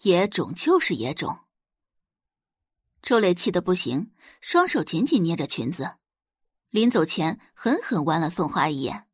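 Expressive, husky woman narrating a novel. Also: on the low side, moderate pace, no room sound, clean studio sound. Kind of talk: dramatic audiobook narration.

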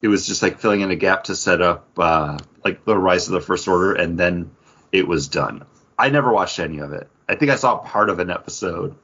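A man co-hosting a casual geek-culture chat, talking in a halting, conversational way full of filler words. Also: sits low, fairly bright tone, husky, dull audio. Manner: halting, conversational, filler-heavy with frequent 'like'. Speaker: podcast co-host.

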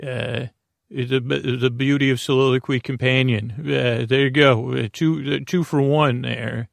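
Man, podcast host, gravelly fry, dry room-free sound, meandering bedtime monologue, halting and friendly delivery. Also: medium pitch, fairly even intonation, no echo. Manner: meandering, halting, often trailing off and circling back; gently silly, friendly.

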